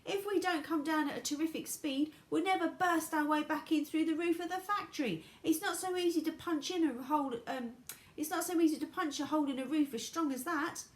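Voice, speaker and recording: A woman voicing a brisk, supremely confident, eccentric gentleman inventor from a storybook, with an emphatic, declamatory manner. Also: high voice, roomy sound, voice pushed up, natural voice. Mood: breezy, assured.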